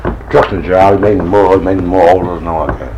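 Halting low-voiced man in his sixties. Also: steady tone, darkish tone, a little hiss or hum, rough recording. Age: 60s. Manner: halting, hesitant short answers. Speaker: interviewee. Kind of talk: answering interview questions.

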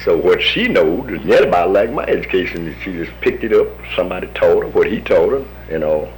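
A man's voice: low voice, lively intonation, fairly quick, slight background noise, rough recording. An American man in his fifties, an army veteran telling his story in a folksy manner, acting out the voices of the people he quotes. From United States. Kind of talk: oral history storytelling.

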